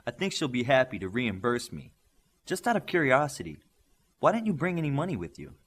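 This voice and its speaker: Casting: male reader as teen superheroine, brisk, friendly, bossy, swooping pitch, a little husky. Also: big pitch swings, a bit bright. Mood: generous, curious.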